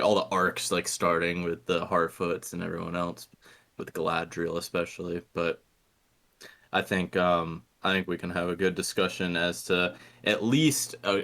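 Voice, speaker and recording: A man's voice: deep voice, fairly bright tone. A man co-hosting a podcast in casual chat, rambling and hesitant with frequent restarts. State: coughing, throat irritated. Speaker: podcast co-host.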